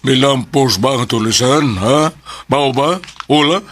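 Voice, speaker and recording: A man playing an authoritative boss in a Bisaya radio drama, speaking deliberately and emphatically with a Filipino accent. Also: medium pitch, fairly bright tone, a little hiss or hum, lightly acted. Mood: surprised questioning. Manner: deliberate, emphatic, repeating questions. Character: authoritative male boss questioning his staff.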